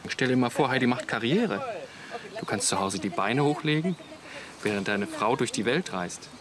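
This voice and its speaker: An actor playing a cajoling, needling camper buddy in a radio play, chummy and goading. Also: male voice, medium pitch, lively intonation, normal speed, crowd noise, close to an everyday voice. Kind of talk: German radio play acting.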